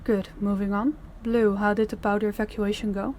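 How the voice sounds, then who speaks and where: A woman's voice: mid-range voice, little pitch movement, brisk, a little mellow, slight background noise, natural voice. A woman playing a stern crime boss in an audio roleplay, speaking in a commanding, businesslike way. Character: stern female crime boss running her department heads. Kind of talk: scripted audio roleplay acting.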